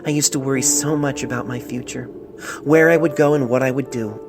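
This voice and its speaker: A man reads as a lovestruck young storybook mare, tender and wistful. The voice is lightened yet creaky, with music behind a homemade phone recording. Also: medium pitch, steady tone, fairly quick, close to an everyday voice. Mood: tender, hopeful. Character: young mare in love, writing a tender letter. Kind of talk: letter read aloud in story.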